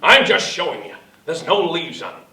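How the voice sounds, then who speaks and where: A man's voice: fairly high pitch, sing-song, normal speed, bright, forceful tone, full character voice. An actor playing a brash, sarcastic, easily offended money man in a live radio theatre parody. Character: brash, indignant American money man, touchy father. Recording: echoey live theatre recording, distant microphone.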